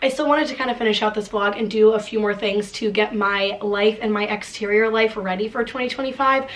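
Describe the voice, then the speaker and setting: A woman's voice: medium pitch, fairly even intonation, brisk, fairly bright tone. A young woman vlogging at home, chatting casually as she walks through her things, with plenty of filler "like.". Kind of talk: casual chat, walking through items. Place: at home indoors.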